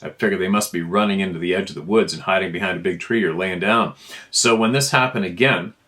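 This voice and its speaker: A man's voice: low voice, quick pace, bright, forceful tone. A man reading a first-person account aloud in an informal storytelling style. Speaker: narrator reading listener emails.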